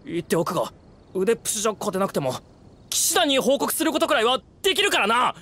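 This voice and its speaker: A man's voice: mid-range voice, lively intonation, normal speed, fairly bright tone. An actor playing an indignant, distrustful young townsman in an anime game, speaking in a curt, accusing manner. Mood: alarmed, defiant. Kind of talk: Japanese anime game voice acting.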